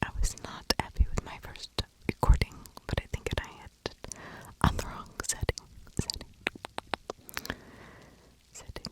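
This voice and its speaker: Woman whispering slowly, ASMR style. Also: poor audio. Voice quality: breathy, unvoiced whisper. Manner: lingering, repeats words. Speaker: ASMR video host, true crime narrator.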